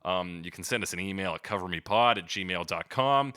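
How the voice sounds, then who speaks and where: A man's voice: low voice, fairly quick, sharp tone, clean tone. A man hosting a podcast in casual chat, with a sweary, slangy, jokey way of talking. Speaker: podcast host.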